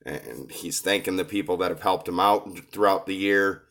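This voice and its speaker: A low-voiced man coming down with a cold talks through his take on a rap track. He pauses often and fills the gaps with hesitant ums. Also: gravelly, a bit bright. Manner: hesitant, many filler pauses. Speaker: reaction video host. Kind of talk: casual commentary on a rap song.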